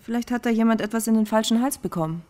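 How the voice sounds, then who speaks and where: A sceptical, exasperated partner in a radio crime drama, played by a woman. She is dry and pointed, quick to turn dismissive and sarcastic. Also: a little high, mellow, close to an everyday voice.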